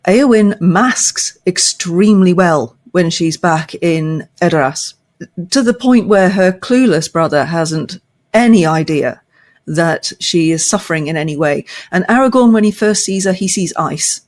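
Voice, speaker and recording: An older woman, a podcast guest, discussing literature thoughtfully with measured, emphatic phrasing and deliberate pauses. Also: fairly low pitch, darkish tone. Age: older, past fifty.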